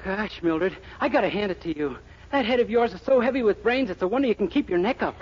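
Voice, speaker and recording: A naive, wisecracking young man in a 1940s comedy. He speaks fast and earnestly. The recording is dull and hissy. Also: high-pitched, animated. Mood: cheeky. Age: young adult. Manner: innocent, earnest, cheeky. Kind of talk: 1940s radio comedy acting.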